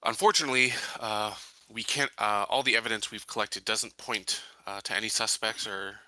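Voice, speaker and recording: A man plays an earnest colony sheriff in a tabletop roleplaying game. His voice is lowish but very bright and sharp, recorded dry. He is courteous and formal, measuring out his words with some hesitation. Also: fairly even intonation, normal speed, no room sound. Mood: earnest, concerned.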